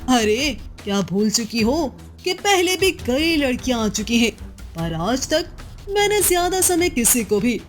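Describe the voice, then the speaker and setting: A woman's voice: high-pitched, big pitch swings, measured pace, faint music behind. A woman playing a proud, jealous and scheming chieftain's wife in a cartoon story, haughty and scornful in manner. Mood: haughty, confident. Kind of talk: Hindi moral-story cartoon dialogue.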